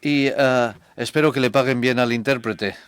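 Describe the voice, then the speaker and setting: A man giving a measured, reflective conference talk to a hall audience. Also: medium pitch, steady tone, clean tone. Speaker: conference speaker.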